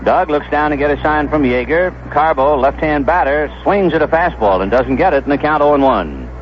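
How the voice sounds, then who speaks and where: An American man calling baseball play-by-play on the radio, conversational and descriptive, filling in stories between pitches. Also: expressive, brisk. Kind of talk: play-by-play commentary. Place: ballpark broadcast booth. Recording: dull, noisy vintage 1970s radio broadcast.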